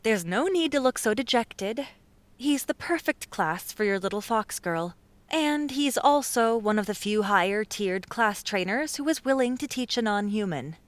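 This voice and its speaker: A man's voice: sits high, lively intonation, normal speed, a bit bright, no room sound, voice pushed up. A male narrator voicing a poised, confident young woman with an assured, slightly teasing way of talking. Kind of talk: audiobook character voice.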